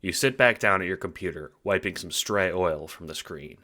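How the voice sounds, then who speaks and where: A man reading second-person audio drama narration in an animated storytelling manner, with casual swearing. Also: deep voice, moderate pace, background noise.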